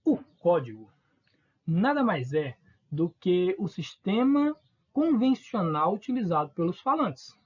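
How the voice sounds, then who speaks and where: A man's voice: high-pitched, very animated, unhurried, breathy edge, lo-fi sound. A man from Northeast Brazil with a Northeastern accent, teaching a recorded online lesson in an informal way, asking questions and answering them himself. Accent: Northeastern Brazilian Portuguese.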